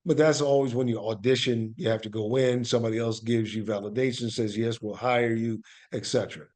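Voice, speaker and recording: An American man speaking as an interview guest, telling stories in an animated, emphatic conversational way and often checking that the listener follows. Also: medium pitch, fairly quick. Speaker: guest, actor and playwright.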